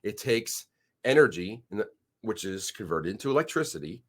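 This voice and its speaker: A man's voice: fairly low pitch, sing-song. Stuffy-nosed man, rambling commentary. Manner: rambling, halting restarts. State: nasal from a head cold. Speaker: channel host. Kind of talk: casual screen-share commentary.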